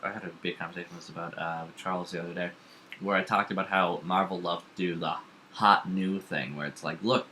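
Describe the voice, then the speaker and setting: A man chatting casually, animated and opinionated, nitpicking in quick bursts. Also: low voice, a little hiss or hum. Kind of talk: casual chat.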